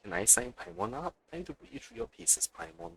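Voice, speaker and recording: Male game streamer, casual, chatty, creaky, hugely animated intonation. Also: fairly low pitch, fast, crowd noise, poor audio. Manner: chatty, greets viewers. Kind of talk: casual live game commentary. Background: game music.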